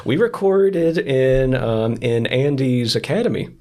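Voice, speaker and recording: A man speaks as an interview guest in a medium-pitched voice. His talk is casual and conversational. He explains things by slipping into short quoted lines. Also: animated, unhurried, a little mellow. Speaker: podcast guest, writer and director.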